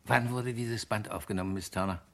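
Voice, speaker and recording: Calm, low-voiced police inspector, played by a man. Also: brisk, lightly acted. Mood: businesslike. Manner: businesslike, polite, inquisitive. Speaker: actor in a radio crime play. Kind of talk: German radio crime drama acting.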